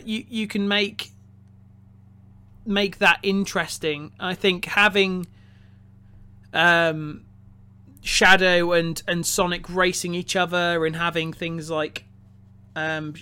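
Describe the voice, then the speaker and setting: Male podcast co-host with a high, sharp voice, halting and analytical in casual discussion. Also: smooth. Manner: halting, rephrasing as he thinks aloud. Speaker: podcast co-host reviewing a film.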